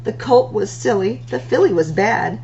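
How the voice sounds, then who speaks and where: A woman reading rhyming picture books aloud as a storytime host, in an expressive, singsong delivery with drawn-out words. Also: mid-range voice, dull audio, a little hiss or hum.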